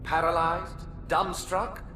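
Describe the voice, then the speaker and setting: An actor playing a haughty, scornful alien prophet with a sneering, theatrical delivery in a video game. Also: male voice, high voice, flat delivery, slow pace, bright, forceful tone, faint music behind, heavily acted. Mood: mocking. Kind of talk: dramatic video game acting.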